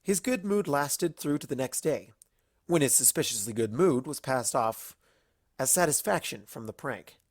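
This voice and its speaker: A woman's voice: extremely low pitch, lively intonation, moderate pace, darkish tone, breathy edge, no echo, clean studio sound. A woman narrating a comic story as a reader, in a storytelling style. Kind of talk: lively narration of a comic fan fiction story.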